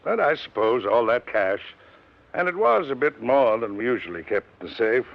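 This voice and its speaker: Gravelly, regretful company boss. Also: male voice, medium pitch, very animated, moderate pace. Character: regretful, proper company owner. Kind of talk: 1950s radio drama acting. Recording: muffled vintage radio recording.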